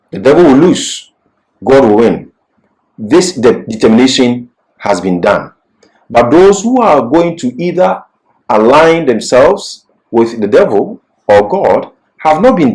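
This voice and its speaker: A man presenting an expository Bible lesson as a teacher, speaking in emphatic, deliberate short phrases. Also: fairly low pitch, a little mellow, low-quality recording.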